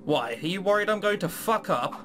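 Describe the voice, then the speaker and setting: Let's play host, lively swooping intonation, sardonic, sweary, casual running commentary, faint music behind. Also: medium pitch, a little fast. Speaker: gaming video host. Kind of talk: casual let's play commentary, reading game dialogue aloud.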